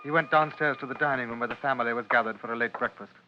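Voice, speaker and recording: Male radio-drama narrator, measured and dramatic, medium pitch, muffled period sound. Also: over music. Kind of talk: literary scripted narration, 1950s radio drama. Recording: muffled vintage radio recording.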